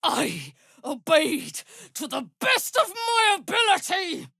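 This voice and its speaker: An actress playing a ruthless, haughty female drow commander in a video game, with a husky, breathy voice and a measured, imperious, menacing delivery. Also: very high voice, huge pitch swings, bright, forceful tone, no room sound, studio quality, heavily acted. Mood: battle cry. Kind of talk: video game character voice acting.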